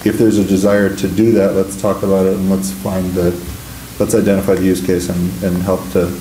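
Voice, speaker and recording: Deep-voiced man answering conference questions. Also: monotone, brisk, dark tone, slight background noise. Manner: hesitant, many fillers and restarts. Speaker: presenter. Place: conference room. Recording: echoey room.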